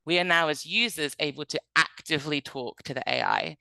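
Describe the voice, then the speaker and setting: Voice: medium pitch, huge pitch swings, a little fast, gravelly, pushed and bright, no echo. A presenter from England, giving an informal webinar in a conversational, explanatory manner. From North Devon, England.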